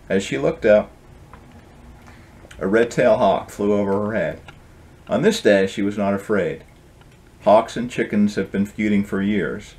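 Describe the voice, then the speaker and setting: Low-voiced middle-aged man reading his book. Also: a little mellow. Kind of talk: storybook reading with casual introduction. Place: indoor home office.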